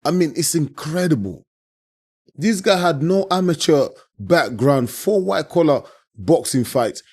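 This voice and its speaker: A man whose voice is hoarse and worn out, speaking in a mellow, warm tone. He talks casually and with passion, repeating himself and leaning on friendly filler words as he reacts on his own channel. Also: fairly high pitch, lively intonation, measured pace. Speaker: boxing channel host. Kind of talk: casual post-fight reaction monologue.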